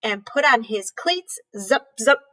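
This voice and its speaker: A woman with a high voice reads a picture book to young children like a teacher at story time. She goes slowly and puts emphasis on the sound words. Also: expressive, a bit bright. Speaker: read-aloud storyteller, teacher.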